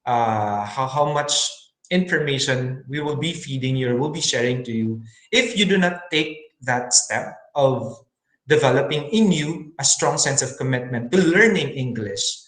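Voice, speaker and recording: A man giving an earnest webinar talk on teaching science in English, pausing often with fillers and restarts. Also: medium pitch, animated, a bit bright, reverberant, poor audio. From Philippines. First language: Filipino.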